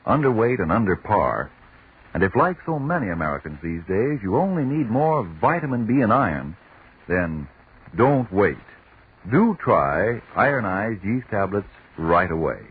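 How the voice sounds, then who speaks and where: A male radio announcer delivering scripted 1940s sponsor pitches and programme notes in a polished, persuasive, emphatic manner. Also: big pitch swings, normal speed, breathy edge. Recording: muffled, lo-fi 1943 radio broadcast.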